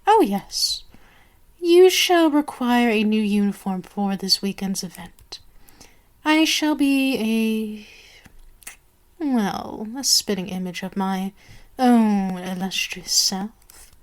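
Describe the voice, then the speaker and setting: Woman acting a slow, imperious vampire queen. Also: medium pitch, expressive. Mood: commanding, indulgent. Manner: slow, imperious, deliberate, condescending. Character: haughty, commanding vampire queen mistress. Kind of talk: ASMR roleplay monologue to the listener.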